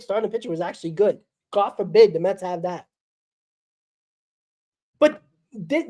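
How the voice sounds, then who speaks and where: A woman rants bluntly through a solo postgame fan show, exasperated and dismissive. She is sick, so her voice crackles and she coughs now and then. Her tone is dark and mellow. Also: a little high.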